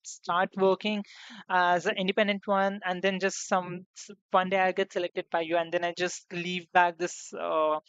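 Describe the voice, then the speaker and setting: A young woman streaming on her debut as a VTuber, chatting casually and rambling with lots of fillers and restarts, her voice slightly hoarse. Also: fairly low pitch, level tone, normal speed, no echo. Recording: dull lo-fi sound, dry room.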